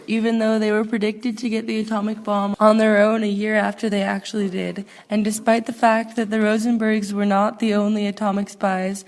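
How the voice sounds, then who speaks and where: A woman reads prepared narration like a student presenting a project. Her voice sits at a middling pitch. The delivery is halting, with occasional stumbles over words. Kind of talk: scripted narration, read aloud.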